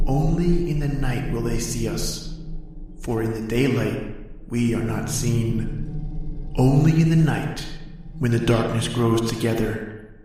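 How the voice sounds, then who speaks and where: An actor playing a sinister shadow spirit intoning dark prophecy in ominous old-time radio style, with a heavy echo effect. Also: male voice, medium pitch. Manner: slow, ominous chanting. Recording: echoing, distant, over music.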